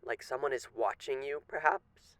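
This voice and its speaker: A man's voice: mid-range voice, moderate pace, a bit bright, no room sound, natural voice, poor audio. A man playing a suave, menacing radio demon in an ASMR roleplay, speaking with genteel, teasing, theatrical politeness. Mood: showman-like, sly.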